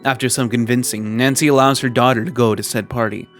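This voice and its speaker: A man with a mid-pitched voice narrates scripted commentary over faint music. He is measured and wry, drawing out the odd word for emphasis like a video essay host. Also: steady tone, fairly bright tone. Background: background music.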